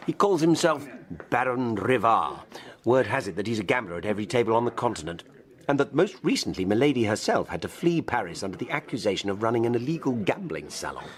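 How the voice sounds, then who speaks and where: Man acting a posh-mannered victorian club gossip, very lively intonation, arch and teasing, radio drama. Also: medium pitch, fast, natural voice. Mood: relishing gossip. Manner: arch, wry, polished.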